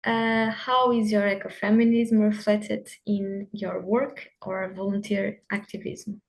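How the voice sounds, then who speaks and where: A woman's voice: medium pitch, level tone, measured pace, gravelly. Hesitant woman interviewer, many fillers. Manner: hesitant, filler-heavy, polite. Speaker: podcast host, interviewer. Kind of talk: interview questions.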